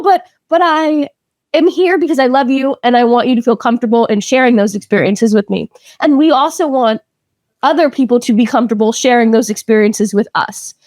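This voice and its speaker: A woman's voice: on the high side, a little mellow, no echo, studio quality. A woman co-hosting a podcast, speaking earnestly and from the heart. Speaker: podcast co-host. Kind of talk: casual interview talk.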